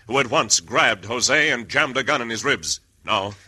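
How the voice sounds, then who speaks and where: A man's voice: medium pitch, lively intonation, measured pace, bright, forceful tone. An American man narrating a scripted old-time radio serial as announcer, with emphatic, dramatic delivery. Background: faint music.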